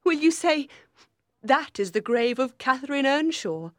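A woman's voice: high voice, animated, slow pace, no room sound, studio quality, voice pushed up. A woman voicing a bitter, passionate dying young wife, intense and pleading, in dramatised storybook dialogue. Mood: bitter, anguished.

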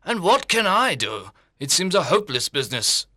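A man playing a dry, ironic older father in a literary audiobook, speaking deliberately. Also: on the high side, sing-song, pushed and bright, no echo, heavily acted. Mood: dryly puzzled. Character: dry, wry older father of grown daughters. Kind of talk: dramatised literary audiobook reading.

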